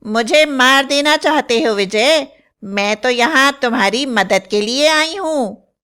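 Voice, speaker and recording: A woman voicing a vicious, bloodthirsty cheetah witch who threatens and taunts in an animated horror fairy tale. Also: high-pitched, slow, clear, resonant tone, heavily acted. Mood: mocking, taunting. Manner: threatening, taunting, screeching delivery.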